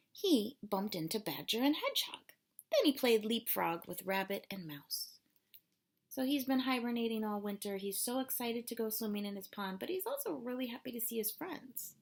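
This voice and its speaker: A woman's voice: a little high, vocal fry, husky. A woman teacher reading a children's story aloud, expressively and dramatically, with explanatory asides. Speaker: elementary school teacher.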